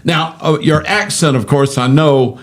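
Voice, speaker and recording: A man's voice: fairly high pitch, unhurried. A man chatting casually as an interview host, telling stories in a folksy, self-deprecating way. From United States South. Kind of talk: casual chat, storytelling.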